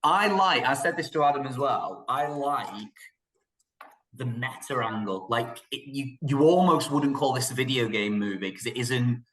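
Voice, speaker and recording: A man's voice: mid-range voice, expressive, moderate pace, fairly bright tone. A man co-hosting a casual film discussion, talking in an opinionated, emphatic way. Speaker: podcast co-host and film reviewer. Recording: roomy, echoey sound.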